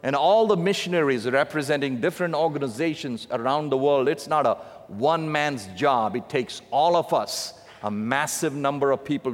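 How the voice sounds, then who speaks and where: A man in his fifties with an Indian accent, preaching to a church congregation with animated asides. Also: mid-range voice. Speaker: missionary preacher. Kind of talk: sermon. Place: large church sanctuary. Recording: long reverb of a big hall.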